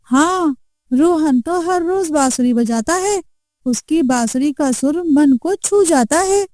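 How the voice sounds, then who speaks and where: A woman's voice: high-pitched, lively intonation, takes it slowly, darkish tone, no echo, clean studio sound. A woman playing a superstitious, foreboding village woman in a cartoon moral story, with a dramatic, insistent manner. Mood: admiring. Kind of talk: Hindi animated moral story acting.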